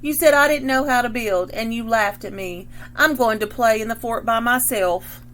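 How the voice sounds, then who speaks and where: A woman voicing a determined young girl who builds things, asking eagerly in a bright voice. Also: fairly high pitch, normal speed, a little hiss or hum, close to an everyday voice. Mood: firm.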